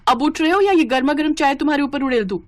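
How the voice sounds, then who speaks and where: A woman voicing a frustrated, sharp-tongued young new bride in a story, complaining and scolding. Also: high-pitched, animated, quick pace, pitched above the performer's own voice. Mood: annoyed.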